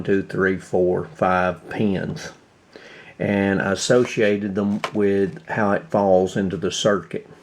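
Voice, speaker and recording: A man giving a casual hands-on workbench walkthrough, halting and thinking aloud as he explains. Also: on the low side, darkish tone. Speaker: hobbyist radio restorer presenting his repair.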